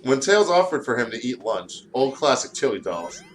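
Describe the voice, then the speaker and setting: A man's voice: medium pitch, very animated, moderate pace, slight background noise. A tired man co-hosting and reading fan fiction aloud in a casual way, stumbling over words and adding joking asides. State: tired, short of sleep.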